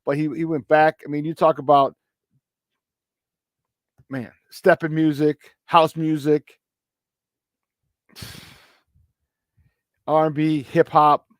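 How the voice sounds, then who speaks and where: A man hosting a casual morning sports talk show, his voice a little hoarse, repeating words for emphasis and laughing often. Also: a little high, flat delivery, takes it slowly, pauses often, no echo. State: slightly hoarse. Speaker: sports talk radio host.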